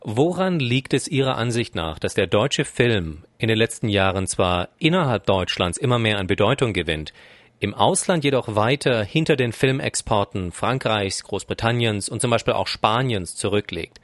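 A man conducting an interview and reading formal, prepared questions in a polite, measured way. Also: fairly low pitch, expressive, no room sound.

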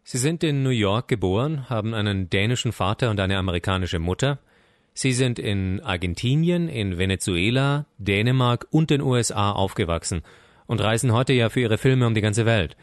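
A man conducting an interview, asking polite, formal, measured questions. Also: fairly low pitch, animated, dry recording, clean studio sound.

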